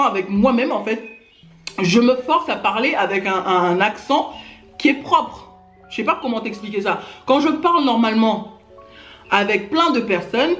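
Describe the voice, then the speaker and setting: Indignant woman ranting to camera, echoey room. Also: medium pitch. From Gabon. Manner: indignant, addresses critics directly, many fillers like "hein" and "genre". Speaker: YouTuber. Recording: echoey room with faint music.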